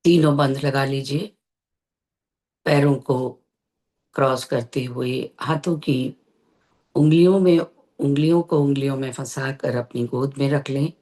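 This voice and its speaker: Slow-paced guided meditation teacher. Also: medium pitch. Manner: unhurried, gentle instructing with long pauses. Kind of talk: guided meditation instruction in Hindi.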